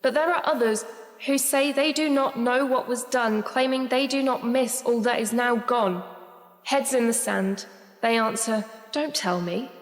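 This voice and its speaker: An actress as an earnest woman poet performing political verse in a radio play. Her voice is fairly high, deliberate and rhetorical. It rings out with long hall reverb. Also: natural voice. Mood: bitter, impassioned. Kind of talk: rhymed spoken-word poetry recital. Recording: echoey hall reverb with music.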